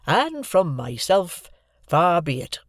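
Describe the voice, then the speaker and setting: A simple, good-hearted blacksmith fumbles for grand words in halting country speech, as an audiobook reader puts him on. The voice sits well above the reader's own and moves slowly. It is recorded dry and close. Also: medium pitch, big pitch swings, dry recording, voice pushed up. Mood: earnest. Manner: rustic, halting, earnest speech. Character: simple, kindly village blacksmith, grown man. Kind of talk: audiobook character voice.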